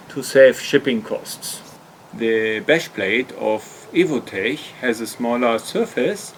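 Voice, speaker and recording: A man's voice: medium pitch, slight background noise. A man narrating an explanatory hobby vlog in hesitant, slightly awkward non-native English phrasing. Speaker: video host, hobby motorcycle customizer.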